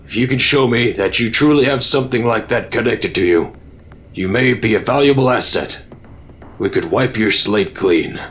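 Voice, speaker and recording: An actor playing a stern, skeptical senior military commander, formal and authoritative, in an audio drama. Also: male voice, medium pitch, normal speed, a bit bright, a little hiss or hum, natural voice.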